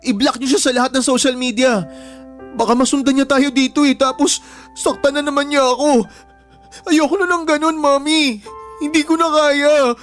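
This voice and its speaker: A man's voice: extremely high pitch, level tone, moderate pace, a bit bright, soft background music. A man playing a vulnerable, pleading young son with a Filipino accent in an emotional radio drama. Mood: frightened, pleading. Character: frightened, pleading young son and little brother.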